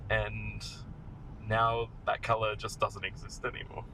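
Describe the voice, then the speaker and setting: A man's voice: fairly low pitch, monotone, a little slow, sharp tone, low-quality recording. An Australian man in casual chat, talking in an informal, rambling, joking way while riding in a car. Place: inside a car being driven. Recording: clear road noise inside moving car.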